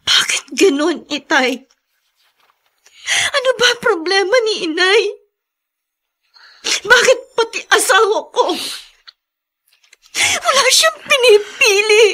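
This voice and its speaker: Very high-voiced, hurt Filipino daughter. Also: female voice, lively intonation, slow, long pauses, pushed and bright, full character voice. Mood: confused, hurt. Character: wronged married daughter, indignant and hurt. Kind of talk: Filipino radio drama acting.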